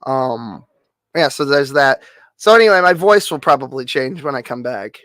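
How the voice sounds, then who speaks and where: A man streamer with a nasal, hoarse voice, rambling through a casual update full of fillers and offhand swearing. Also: mid-range voice, lively intonation, normal speed, a bit bright, no echo. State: hoarse, losing voice.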